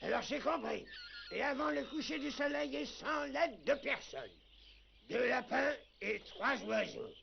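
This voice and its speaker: A man voicing a stern old wolf grandfather, a clan elder, in a French cartoon dub, with a gravelly, scolding voice. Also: extremely high pitch, a little slow, lo-fi sound, a little hiss or hum, exaggerated voice. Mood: solemn. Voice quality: creaky, gravelly. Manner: scolding, authoritative. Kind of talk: French animated series dub.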